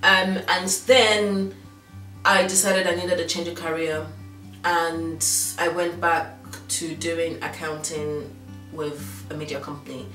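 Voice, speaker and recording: A woman's voice: lowish voice, a bit bright. A woman in her thirties from Nigeria with a slight Yoruba accent, chatting casually to camera as a fashion blogger, rambling with frequent ums and restarts. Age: 30s. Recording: roomy sound from far off.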